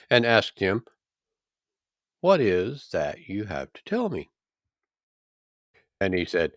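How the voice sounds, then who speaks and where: A man reading scripture aloud in a solemn, measured and declamatory way. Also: sits low, no room sound, studio quality. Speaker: scripture reader.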